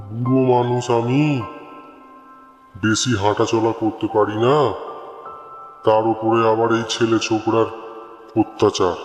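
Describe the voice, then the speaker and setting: A man's voice: medium pitch, a little mellow, highs cut off, close to an everyday voice. Guy doing a cranky old village grandpa in a kids cartoon, scolding, echoey with music behind. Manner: scolding, grumbling, exclaiming. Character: grumpy toothless old village man, ranting. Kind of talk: children's cartoon voice acting.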